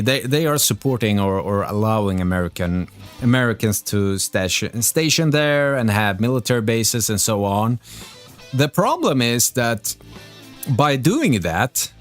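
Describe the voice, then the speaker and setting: A man from Greece and Sweden hosting a live stream, talking casually and earnestly about current events in English. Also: mid-range voice. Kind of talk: casual live political commentary.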